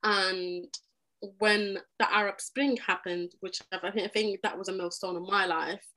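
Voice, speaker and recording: A young woman from Egypt talks in a podcast interview. She is earnest and animated, and she often breaks off and restarts her sentences as she goes. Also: lowish voice, poor audio. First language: Arabic. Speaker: podcast guest. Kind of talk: conversational interview.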